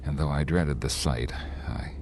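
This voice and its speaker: An actor playing an anxious motorist who narrates his drive in an old-time radio drama, intense and halting as his nerves rise. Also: rumbling low, fairly even intonation, darkish tone, slight background noise. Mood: brooding. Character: haunted, anxious male motorist recounting his cross-country drive. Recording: vintage radio recording with music underneath.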